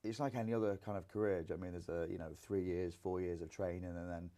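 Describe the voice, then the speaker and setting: Low, mellow-voiced young comedian. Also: male voice, steady tone, very fast. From Grimsby, England. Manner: rambling, many fillers. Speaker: comedian and singer. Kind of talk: casual interview chat.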